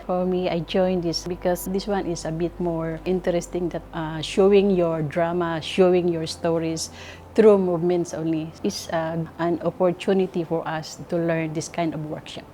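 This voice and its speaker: A migrant woman taking part in a workshop, explaining her reasons in halting English. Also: on the low side, little pitch movement, mellow, a little hiss or hum. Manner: halting second-language English. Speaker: migrant worker participant.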